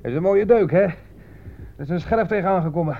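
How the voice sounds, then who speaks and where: Jittery high-voiced soldier. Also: male voice, a little fast, gravelly, a little hiss or hum. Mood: shaken. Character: rattled male soldier, stammering, excitable. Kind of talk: Dutch war radio play acting. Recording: muffled old radio recording.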